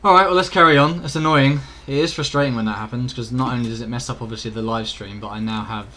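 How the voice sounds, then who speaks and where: A man's voice: mid-range voice, fast, sharp tone, slight background noise. A British man live-streaming a video game, chatting casually to viewers in an informal, rambling way with some mild swearing. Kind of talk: casual chat to viewers during gameplay. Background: faint game ambience.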